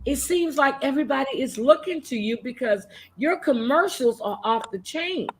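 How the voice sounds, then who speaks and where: A woman hosting a live comedic recap chat, excitable and gushing as she interviews a guest. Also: high voice, moderate pace. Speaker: host.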